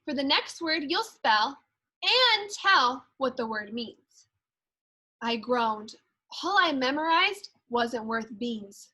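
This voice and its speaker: A woman who teaches second grade, reading a picture book aloud with expressive, deliberate storytelling and dramatic emphasis. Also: high-pitched, breathy edge.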